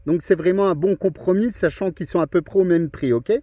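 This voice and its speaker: Male radio hobbyist, fairly high mellow voice, casual conversational contact chat, keeps checking the listener follows. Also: fairly quick, no room sound. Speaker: amateur radio operator.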